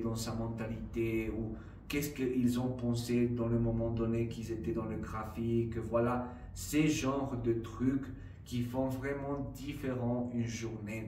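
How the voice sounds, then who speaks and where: Slow-talking man with non-native French. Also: medium pitch, distant mic, a little hiss or hum. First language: not French. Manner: slow, halting, many fillers and "d'accord?" checks. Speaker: trading vlogger. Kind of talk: casual explanatory vlog. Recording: roomy, voice a bit off-mic, faint ambience.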